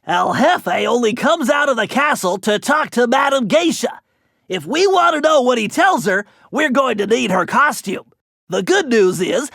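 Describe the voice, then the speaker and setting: Game gadget expert: very high, forceful, nasal, bookish and eager, precise planner, dry close recording. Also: male voice, vocal fry, dry recording, full character voice. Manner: precise, wordy, bookish briefing. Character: nerdy male brainy gadget expert of a thief gang, eager planner. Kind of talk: animated video game character voice acting.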